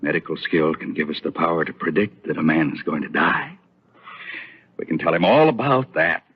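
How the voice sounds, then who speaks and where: A very low, husky American male doctor, stern, dutiful and anguished. He speaks with brooding emphasis in an old soap opera, on a dull lo-fi vintage recording. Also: moderate pace. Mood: bitter, agitated. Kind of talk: old-time radio soap opera acting.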